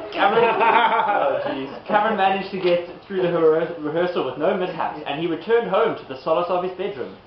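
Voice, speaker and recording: A man narrating a comic puppet show in an amused, giggly manner, breaking off to direct the performers. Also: high voice. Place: live performance in an echoey room. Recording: noisy, muffled, echoey room recording.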